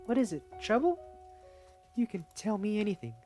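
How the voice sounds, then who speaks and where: A possessive young woman who coos and fusses over her partner, played by a man reading the story aloud, her voice lifted high and bouncing with false sweetness. The reading moves at an easy, unhurried pace. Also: sing-song, dark tone, soft background music. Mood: fussing. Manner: syrupy, coaxing, talks down.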